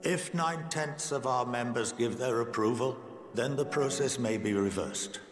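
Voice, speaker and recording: A man playing an erudite, measured scholar and statesman in a video game, speaking formally, like a lecture, with gentle wry humour. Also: mid-range voice, close to an everyday voice. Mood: measured, didactic. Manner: formal, eloquent, didactic. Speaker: game character, scholar and council member. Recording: game audio with echo, loud music. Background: loud music.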